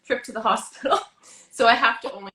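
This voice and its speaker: Bright giggly woman in an echoey room. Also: a little high. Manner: chatty, giggly, asks quick-fire questions. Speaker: host. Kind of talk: casual video chat interview.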